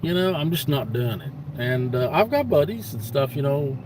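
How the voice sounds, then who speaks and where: A man in his fifties rambles casually to an online audience in a mid-pitched voice. He is blunt and folksy, leaning on repetition to press his point. Steady vehicle-like ambient noise sits behind him. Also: moderate pace. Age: 50s. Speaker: livestream host. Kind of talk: casual rambling monologue to viewers.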